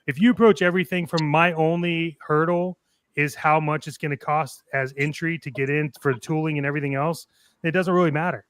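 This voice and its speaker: A man's voice: on the high side, steady tone, brisk, a bit bright, clear, resonant tone, no room sound. A man talking in an earnest, rambling way in a casual group chat, often acting out what other people say. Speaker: online radio show panelist.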